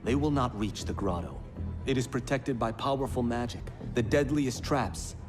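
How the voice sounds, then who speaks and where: An actor playing a cocky young monk warrior with a wry, sardonic way of talking. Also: male voice, medium pitch, moderate pace, lightly acted. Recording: game cutscene over music.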